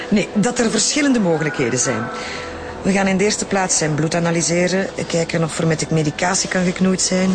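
A woman's voice: on the low side, moderate pace, music in the background, close to an everyday voice. A woman with a Flemish accent playing a breezy, droll forensic doctor in a radio drama. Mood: droll, brisk. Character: breezy, joking female forensic doctor, Veronique Maas.

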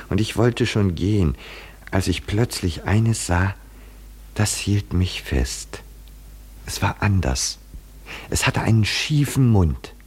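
A man in a 1950s German radio drama, playing an impassioned, philosophical poet-seer who speaks in fervent rhetoric with deliberate dramatic pauses. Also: low voice, darkish tone, husky, heavily acted. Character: impassioned philosophical male poet-seer awaiting his blindness.

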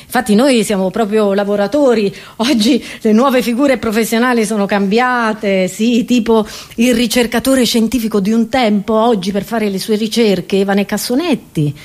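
A woman's voice: a little high, fairly even intonation, moderate pace, few pauses, a little mellow. A woman comedian, delivering a satirical monologue in a theatrical, exclamatory way, speaking straight to her listeners.

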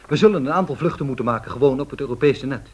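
A male actor plays a dutiful airline pilot in a radio play. He answers his superior quickly in a husky voice, clipped, respectful and factual. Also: medium pitch, lightly acted. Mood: respectful, businesslike. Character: crisp, loyal, matter-of-fact male airline pilot.

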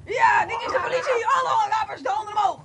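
A woman's voice: extremely high pitch, fast, raised voice, over a noisy crowd, very noisy. A woman playing a bossy, matter-of-fact police chief in an amateur radio play, blunt but hesitant.

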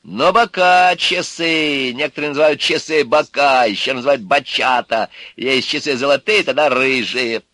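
A man reading aloud, voicing a coarse petty thief who bullies in rough slang. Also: medium pitch, moderate pace, hardly any pauses, no echo, voice pushed up, natural voice. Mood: defiant. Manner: rough slang, bullying, shouting. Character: loud coarse male petty crook.

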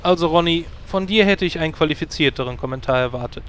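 A man's voice: on the high side, fast talker, fairly bright tone, slight background noise, lightly acted. A man playing a dry, sarcastic male druid who leads a guild, in a parody audio drama.

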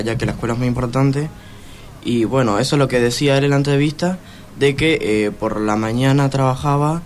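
A man's voice: medium pitch, a little hiss or hum. A teenage boy speaking casually as a student guest on a radio show.

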